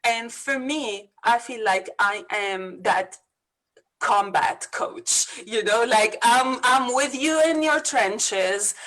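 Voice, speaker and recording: A woman's voice: on the high side, takes it slowly, a bit bright, rough recording. A woman from Lebanon with a Lebanese accent, a business coach speaking earnestly and energetically as a guest in a video-call interview.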